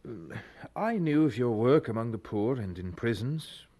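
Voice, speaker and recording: An actor playing an earnest, troubled young university student in a radio drama, speaking formally and with some hesitation. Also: male voice, medium pitch, lively intonation, moderate pace, mellow, slightly rough. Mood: reserved, polite.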